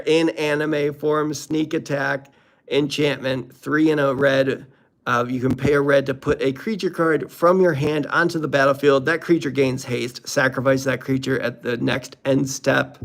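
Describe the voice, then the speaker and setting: A man's voice: on the high side, steady tone, a little slow, gravelly, a bit bright. A man hosting a casual unboxing commentary, talking in a playful, jokey, exclamatory way. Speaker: host opening card packs.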